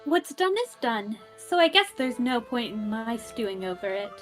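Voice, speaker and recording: A woman voicing a gentle, forgiving young girl in a puzzle game, sweet and polite. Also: fairly high pitch, very animated, normal speed, soft background music. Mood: forgiving, resigned. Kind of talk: voiced game playthrough.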